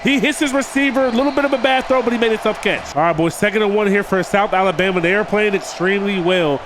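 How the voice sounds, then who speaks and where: A man's voice: high voice, quick pace, noisy background. A man with a cold, sounding nasal and stuffed up, doing casual play-by-play commentary over a football video game and talking to his viewers as "boys.". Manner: addresses viewers as "boys", hypes big plays. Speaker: gaming video creator.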